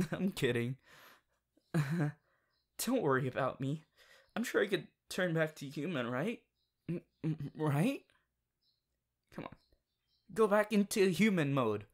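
Casting: performer to play playful, nervous bunny boyfriend for ASMR roleplay, unhurried, animated intonation. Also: mid-range voice. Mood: teasing, unsure. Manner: playful, hesitant, giggly. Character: playful, anxious young male rabbit hero boyfriend.

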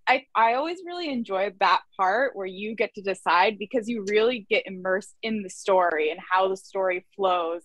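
A woman's voice: medium pitch, a bit bright, no echo, poor audio. A young woman from Canada, an illustrator, chatting casually about her work on a video call, laughing often and saying "like" a lot. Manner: giggly, chatty, uses "like" a lot. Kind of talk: casual conversation. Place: small room at home. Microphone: laptop webcam video call.

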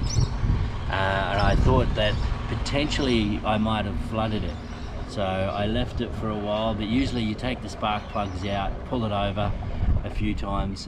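A middle-aged man in a small boat outdoors, chatting practically about repairs to an action camera. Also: on the low side. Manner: chatty, practical, self-mocking. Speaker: sailing vlogger. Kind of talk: casual how-to explanation. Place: small inflatable boat on a marina canal outdoors. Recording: loud wind and water noise outdoors. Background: wind and water noise.

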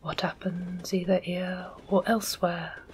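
A woman voicing a touchy working-class male railway porter in a soft whisper, sulky and full of dropped-letter dialect. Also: lowish voice, level tone, unhurried, a little hiss or hum, close to an everyday voice. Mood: huffy.

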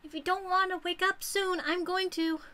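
A woman's voice: extremely high pitch, little pitch movement, normal speed, bright, forceful tone. A woman voicing a cute, pushy teenage girlfriend in a visual novel, sweet and insistent, with scheming undertones. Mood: impatient. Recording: music playing behind.

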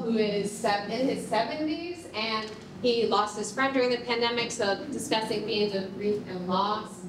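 A young woman explains slides to an audience in an informal, conversational manner. Her mid-range voice sounds distant from the mic, with strong room echo around it. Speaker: project presenter. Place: dim concrete rehearsal hall before an audience. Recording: echoey room, distant mic, noisy rumble.

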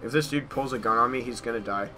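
A young American man giving casual gameplay commentary in an excitable manner, repeating short phrases. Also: mid-range voice, fast talker, loud music behind the voice. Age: young adult. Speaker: gaming YouTuber. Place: small bedroom at home.

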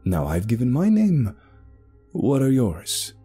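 A man's voice: mid-range voice, huge pitch swings, slow, dark tone, husky, soft background music, voice pushed up, exaggerated voice. A man voicing a smug, mysterious, boastful male traveler in a told story. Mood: brisk.

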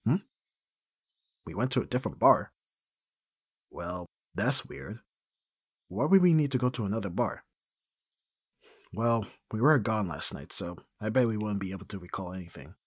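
Husky, low-voiced, flustered young man, acted. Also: lively intonation, moderate pace, pauses often, dry recording. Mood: puzzled, piecing together. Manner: hesitant, sighing, stammering. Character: flustered, awkward young man, listener's best friend. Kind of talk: ASMR roleplay, one-sided intimate dialogue.

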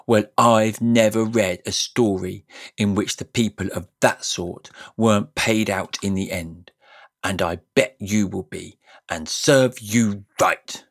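A man's voice: on the low side, steady tone, slow, creaky, full character voice. A man voicing a blunt, indignant young schoolboy in a storybook reading. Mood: defiant, indignant. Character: angry, defiant young schoolboy. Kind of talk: audiobook character voice.

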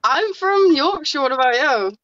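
A woman's voice: high-pitched, animated, moderate pace, a bit bright, slight background noise. A woman in her twenties who grew up in New Zealand, chatting casually and a bit sweary as a guest on a phone video call. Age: 20s. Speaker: livestream guest. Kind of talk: casual chat. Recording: dull lo-fi call audio.

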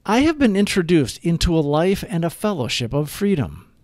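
A man narrating a scripted daily reflection in a measured, earnest, declarative way. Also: a little high, sing-song, darkish tone, dry recording, studio quality. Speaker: narrator of daily recovery readings. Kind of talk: scripted read-aloud reflection.

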